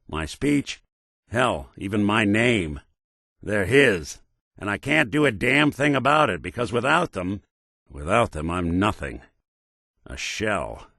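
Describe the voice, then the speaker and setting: An actor playing a world-weary American robot detective in a video game, with a wry, hesitant, hard-boiled noir delivery. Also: male voice, low voice, a bit bright, dry recording, clean studio sound, exaggerated voice. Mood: troubled, earnest. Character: world-weary synth detective, a machine with an old-time cop's personality.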